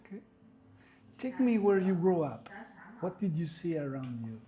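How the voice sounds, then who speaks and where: A man hosting a podcast, asking questions in a gentle, reflective way with some hesitation. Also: fairly high pitch, big pitch swings, normal speed, crowd noise. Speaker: podcast host. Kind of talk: podcast interview.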